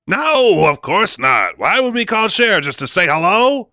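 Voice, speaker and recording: A man's voice: high voice, very animated, a little slow, bright, forceful tone, no echo, heavily acted. A man playing a flustered studio boss in a comic radio drama, stammering and placating as he wheels and deals. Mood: flustered, flattering. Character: smooth-talking, flustered male studio boss wheeling and dealing.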